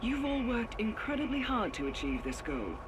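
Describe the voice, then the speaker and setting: A man playing an earnest corporate leader in a game, addressing staff in a measured, persuasive, authoritative manner. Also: very high-pitched, big pitch swings, background noise. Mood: reassuring, firm. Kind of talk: scripted announcement acting.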